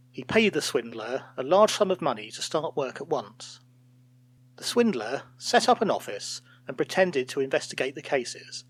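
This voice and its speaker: A man reading a scripted fairytale as a storyteller, in an expressive, theatrical way. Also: mid-range voice, rough recording.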